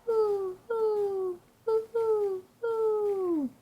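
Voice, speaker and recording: Woman doing a high, hooting owl call. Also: fairly even intonation, drawn-out words, dull audio, exaggerated voice. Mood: echoing reply. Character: owl hooting call, echoed through woods.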